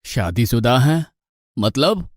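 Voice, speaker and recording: A man voicing a stern, disbelieving male guru who scolds in a measured way, in an animated devotional story. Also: mid-range voice, dry recording, clean studio sound.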